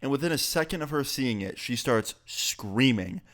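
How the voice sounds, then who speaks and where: A man's voice: mid-range voice, lively intonation, normal speed, fairly bright tone. A young man, a bit sick, telling a casual, profane story on his vlog. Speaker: YouTuber.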